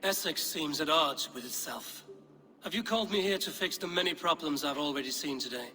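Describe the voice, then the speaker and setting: A man playing a stern, confident Norse warrior in a game, with a blunt, measured delivery. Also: fairly high pitch, bright, forceful tone. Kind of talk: cinematic game voice acting. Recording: long hall-like reverb.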